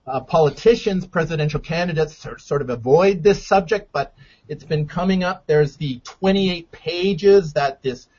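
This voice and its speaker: A male radio host speaks in a measured, deliberate way as he introduces his guest and the topics. His voice is somewhat high for a man. The sound is dull and lo-fi. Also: a little mellow, slightly rough. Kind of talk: radio interview.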